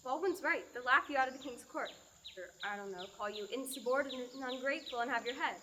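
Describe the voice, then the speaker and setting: A woman's voice: high voice, fast, slight background noise. A young woman playing a dry, sarcastic peasant daughter in a student radio play. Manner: dry, deadpan morbid humor.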